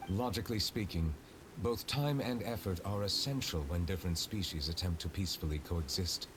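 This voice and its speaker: An actor playing a calm, reserved male chief justice who speaks in a measured, formal way. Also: sits low, noisy background, natural voice. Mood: composed. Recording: game music behind.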